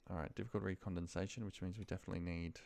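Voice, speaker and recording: Low gravelly guy mumbling to himself while going thru a spreadsheet, lots of pauses. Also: flat delivery, a little mellow. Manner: muttering, thinking aloud with pauses. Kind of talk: muttered screen-share walkthrough of spreadsheet work, thinking aloud. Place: at a computer desk, indoors.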